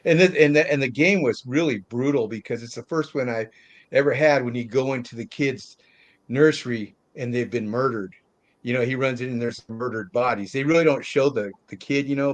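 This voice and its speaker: A middle-aged man with a medium-pitched voice leads a casual film review panel. He talks loosely and conversationally, padding his opinions with small verbal tags as he goes. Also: normal speed. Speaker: host of a movie review show. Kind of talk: casual movie discussion.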